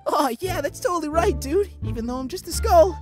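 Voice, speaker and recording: A woman acts out a talking monster skull, an easygoing slacker pal. The voice is high and casual, full of dude-like ease. Music plays behind this animated comic dub. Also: a little fast. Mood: laid-back.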